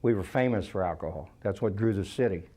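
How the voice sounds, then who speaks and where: A man's voice: sits low, quick pace, mellow, husky. A man with an American accent answering interview questions as a town mayor.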